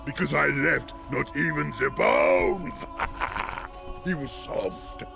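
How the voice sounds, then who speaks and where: A gluttonous old ogre king for a fantasy game, very slow and gravelly, with pitch that lurches wildly up and down. He is theatrical, sly and halting, as if savoring each phrase. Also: big pitch swings, music in the background, full character voice. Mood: sly, gloating. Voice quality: gravelly, creaky. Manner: theatrical, halting, stammering, cackling. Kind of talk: video game character acting.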